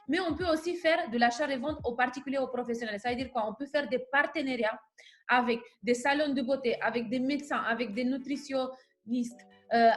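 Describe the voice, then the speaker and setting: Young Romanian woman pitching a business. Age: 20s. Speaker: network marketing presenter. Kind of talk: sales presentation.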